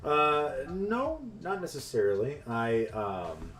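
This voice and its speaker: A man's voice: mid-range voice, wildly swooping pitch, slow, fairly bright tone, faint music behind. A man streaming a card game, chatting casually and joking with his opponent. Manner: joking, upbeat, laughs often. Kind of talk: casual chat while streaming.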